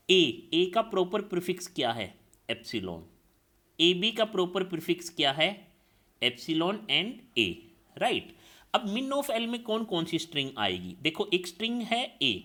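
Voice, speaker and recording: Animated male teacher quizzing himself. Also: high voice. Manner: explains step by step, asks and answers his own questions; addresses students as children. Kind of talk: classroom lecture.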